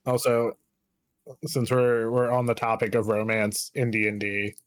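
A man's voice: on the low side, level tone, unhurried, no echo. A man chatting casually as a podcast guest about a shared tabletop campaign, understated in tone. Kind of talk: casual chat.

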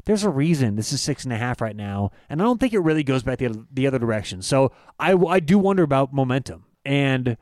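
A man co-hosting a sports betting podcast, talking his picks through with frequent pauses and restarts. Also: mid-range voice, big pitch swings, darkish tone, no room sound, clean studio sound. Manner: halting, many "uh" restarts. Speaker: podcast co-host. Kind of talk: casual sports betting chat.

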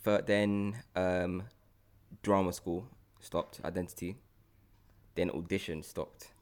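A man with a fairly low voice and a London accent hosts a relaxed, conversational podcast. He speaks in informal slang and often repeats phrases to make his point. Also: takes it slowly. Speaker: podcast host. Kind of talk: casual chat.

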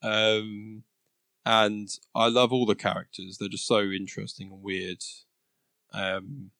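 A man with a lowish voice chats easily as a podcast co-host and often laughs between remarks. The recording is dry, with no room sound. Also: flat delivery, slow, creaky, pushed and bright, clean tone, no room sound. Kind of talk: casual chat.

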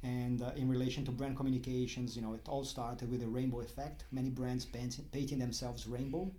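Halting Brazilian-accented man. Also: mid-range voice, level tone, mellow. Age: middle-aged. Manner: halting, many restarts and fillers. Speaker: interview guest. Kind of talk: casual interview talk.

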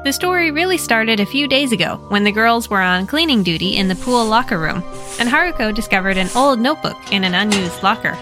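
An American woman hosting a story podcast, retelling a horror manga in a lively, expressive and wry way. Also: on the high side, a little fast, over music. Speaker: podcast host and narrator.

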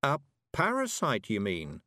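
A man voicing a composed, dryly stern female witch in a storybook, speaking in a measured, articulate way. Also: mid-range voice, huge pitch swings, sharp tone, no echo, clean studio sound, voice pushed up, exaggerated voice. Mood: curious, dry.

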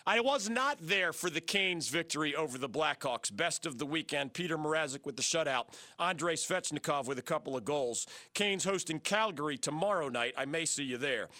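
American man, sports radio host, high and bright, slightly gravelly, brisk rundown of scores, dry studio sound. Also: animated, no room sound. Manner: brisk and enthusiastic, rattling off scores and names. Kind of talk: sports talk radio monologue. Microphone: studio microphone.

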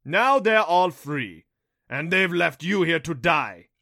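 A man's voice: on the high side, expressive, pushed and bright, no echo, clean studio sound, voice pushed up, full character voice. Man voicing a gloating, theatrical fanged beast. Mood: jeering. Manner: taunting, mock-sorrowful, drawn-out delivery. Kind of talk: audiobook character voice for a fantasy story.

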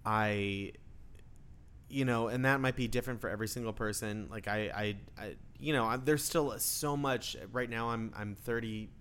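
A man's voice: medium pitch, normal speed, a bit bright, slight background noise. A man in his thirties talking casually as a guest, joking and restarting his sentences. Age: 30s. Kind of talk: casual conversation.

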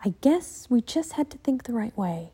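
A woman's voice: on the high side, very animated, normal speed, warm tone, breathy edge, exaggerated voice. Lady reading as a careful serious boy, a bit worried. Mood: earnest. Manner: earnest, worried. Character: cautious, serious young boy.

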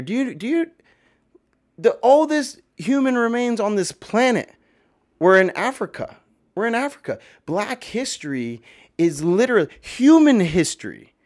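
Slightly hoarse man ranting casually. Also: sits high, big pitch swings, moderate pace. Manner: emphatic, rambling, swears, many fillers. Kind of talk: casual opinion monologue.

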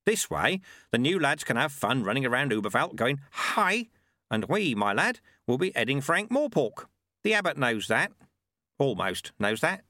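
Man voicing a wily old monk, pitched up. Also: bright, forceful tone, no echo, studio quality. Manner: colloquial, chatty, rascally. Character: wily old monk mentor, irreverent and unbothered.

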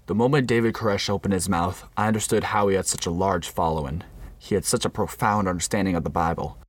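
A man playing an uneasy undercover federal agent in a scripted radio play, with a measured, solemn delivery. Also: low-pitched, breathy edge, dry recording. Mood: grave, reflective. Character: undercover male FBI agent narrating a compound infiltration, uneasy. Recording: faint background noise.

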